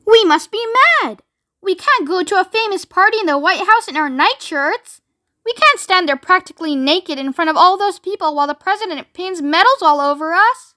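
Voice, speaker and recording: A woman's voice: very high voice, very animated, moderate pace, a bit bright, no echo, clean studio sound, pitched above the performer's own voice, full character voice. A woman voicing an agitated, outspoken old grandmother in a children's storybook, protesting in screechy emphatic tones. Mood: panicked. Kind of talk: children's storybook read aloud.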